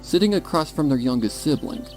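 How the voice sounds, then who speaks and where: A man's voice: fairly high pitch, animated, brisk. A man reading a scripted story as a dramatic narrator. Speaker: narrator of a fan fiction reading. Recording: music behind the voice.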